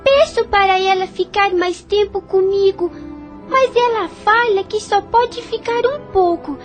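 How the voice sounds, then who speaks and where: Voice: very high voice, measured pace. A performer playing a sweet, earnest little girl in an audio novel. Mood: innocent, wistful. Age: child character. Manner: innocent, earnest. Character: sweet little girl missing her dead mother. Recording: music behind the voice.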